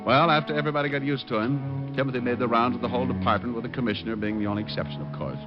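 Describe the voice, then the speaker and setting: An actor playing a cocky, wisecracking private detective in an old-time radio drama, both narrating and in dialogue. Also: male voice, medium pitch, rapid-fire, lightly acted. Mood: wry narrating. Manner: wry, glib. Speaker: lead and narrator. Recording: muffled old radio recording with music.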